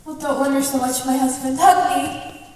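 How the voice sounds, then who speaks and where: A woman's voice: high-pitched, flat delivery, moderate pace, darkish tone, lightly acted. A young woman playing a devoted young bride in a stage play. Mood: happy, coaxing. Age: young adult. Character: devoted young bride, playful, then alarmed. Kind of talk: school stage play acting. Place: indoor theatre stage. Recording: echoey theatre stage, distant mic.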